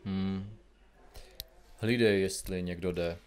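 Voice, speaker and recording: A man playing an impatient, grumpy investigative journalist in an audio comedy drama, with a gruff, curt, colloquial manner. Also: deep voice, fairly even intonation, close to an everyday voice. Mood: brisk, dry.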